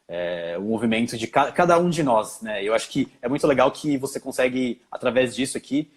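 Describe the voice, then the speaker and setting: A man's voice: medium pitch, husky. A young adult man with a Brazilian Portuguese accent, chatting loosely as a guest in a live interview. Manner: loose, chatty, quick bursts with fillers like "tipo". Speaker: guest, filmmaker and actor. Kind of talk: casual live interview chat.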